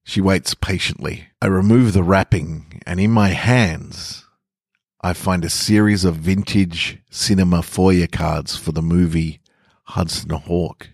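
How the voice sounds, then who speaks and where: A man's voice: low-pitched, steady tone, slow pace, gravelly, a little mellow, slightly rough, no echo, studio quality, exaggerated voice. A man reading a first-person story as a shy, lovestruck young man, awkward and awestruck. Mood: awestruck, nervous.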